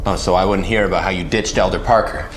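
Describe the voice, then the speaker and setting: A man's voice: sits low, steady tone, fast talker, soft background music, close to an everyday voice. A man voicing a loyal, easygoing male werewolf beta who talks in a casual, persuasive, teasing way in an audio drama.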